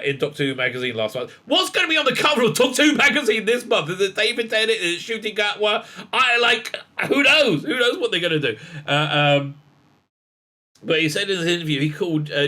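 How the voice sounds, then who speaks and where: Male host for a fan commentary show, bright and forceful, rambling and excitable. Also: high-pitched. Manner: excitable, many fillers and asides, laughs often. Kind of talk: casual commentary reading news aloud.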